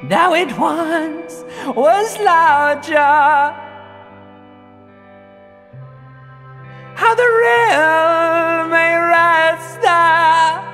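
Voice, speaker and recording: An eerie inner voice from a video game, the weary, menacing murmur of a nervous system. It sits unnaturally high and drags out each phrase with breathy, theatrical doom. It echoes in a long cavernous reverb. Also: male voice, bright, forceful tone, soft background music, heavily acted. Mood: mournful singing. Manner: slow, breathy, dramatic, ominous.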